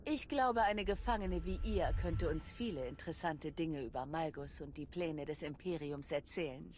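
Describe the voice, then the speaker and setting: A woman's voice: deep voice, moderate pace, darkish tone, a little hiss or hum, close to an everyday voice. An actress playing a composed, resolute female commander in a game, speaking with controlled assurance. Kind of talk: dramatic game voice acting.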